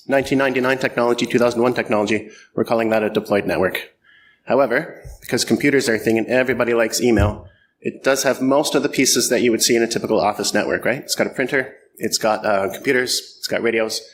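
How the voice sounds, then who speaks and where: Middle-aged Canadian man, mid-pitch, presenting at a conference with a faint hiss behind him, loose and self-mocking, filler-heavy. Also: fairly even intonation, quick pace. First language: French or English, bilingual. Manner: self-deprecating, joking, many ums and uhs. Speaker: conference speaker. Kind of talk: conference talk. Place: conference hall.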